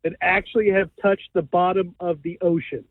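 A man's voice: high voice, fairly even intonation, normal speed, no room sound. A man calling in to a radio show and explaining a fact with insistence. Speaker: caller. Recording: tinny phone line.

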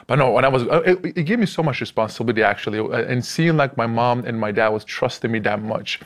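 A man, a guest on a panel show, talking earnestly and halting with many restarts. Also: medium pitch. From Turkey. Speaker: athlete guest. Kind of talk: casual panel talk. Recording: light crowd noise behind.

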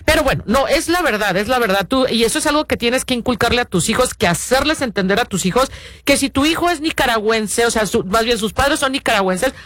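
A male radio host with a high voice speaks in an earnest, rambling way. He restarts often and leans on fillers. The sound is close and dry, with no room in it. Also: lively intonation, fast, a bit bright, no room sound. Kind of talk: casual radio talk to parents.

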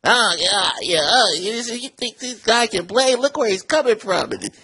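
A man's voice: high-pitched, animated, normal speed, sharp tone, husky, voice pushed up, heavily acted. A man voicing a whiny, dismissive male sports pundit who scoffs at a player. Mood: scoffing. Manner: mocking, dismissive.

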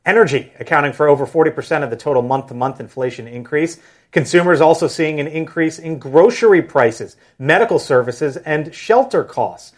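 A man's voice: on the high side, fairly quick. A man hosting a news show, reading a promo in punchy, clipped headline phrases. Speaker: news host. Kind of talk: promo narration.

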